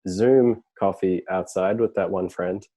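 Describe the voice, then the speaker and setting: A man chatting casually as a podcast guest over a video call, relaxed and rambling with fillers. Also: low voice, a little slow. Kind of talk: casual chat.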